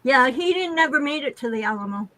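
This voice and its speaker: A woman's voice: high voice, lively intonation, fast talker, a bit bright. A woman from Massachusetts with an American accent, chatting casually in an outspoken, emphatic way. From Massachusetts, United States. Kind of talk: casual group chat.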